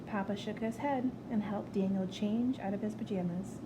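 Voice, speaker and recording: A woman reading a picture book aloud to children in an expressive storytelling style. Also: medium pitch, moderate pace. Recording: clear background noise.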